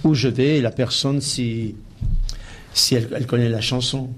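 A man from Italy, a singer being interviewed on the radio, speaking haltingly and modestly with many restarts. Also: medium pitch, fairly even intonation.

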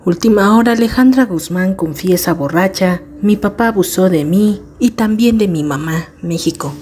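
A woman's voice: mid-range voice, normal speed, a little mellow, slight background noise. A woman reading scripted celebrity gossip news as a narrator.

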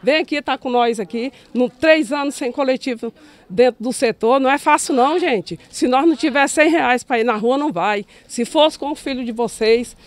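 A woman's voice: sits high, normal speed. Brazilian woman outside protesting, angry, trips over words, calls out officials. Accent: Brazilian Portuguese. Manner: indignant, stumbling, calls out officials. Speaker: resident at a protest. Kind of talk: street interview. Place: outdoors at a protest.

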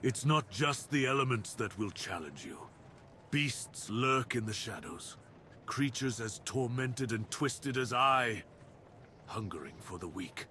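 A man's voice: mid-range voice, animated, unhurried, pushed and bright, slight background noise. A man voicing a proud, menacing dire wolf beast in an ASMR roleplay, speaking with grave, commanding, theatrical deliberation. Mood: brooding.

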